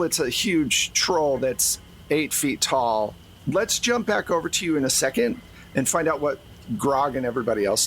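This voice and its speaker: A man's voice: fairly high pitch, a bit bright, a little hiss or hum, rough recording. A man speaking as game master, narrating a tabletop game in a casual way.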